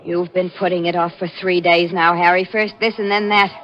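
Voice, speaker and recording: A woman's voice: on the low side, steady tone, fairly quick, close to an everyday voice. Woman acting a hard radio thriller accomplice. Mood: impatient, angry. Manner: terse, pushy. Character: hard, impatient female accomplice in a murder. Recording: muffled vintage radio recording, faint music.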